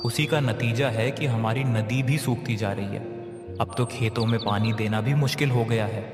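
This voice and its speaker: A man's voice: medium pitch, moderate pace, natural voice. A man playing a poor, worried village farmer husband, humble and plaintive, in a cartoon moral story. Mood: worried, pleading. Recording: echoey reverberant voice over loud music.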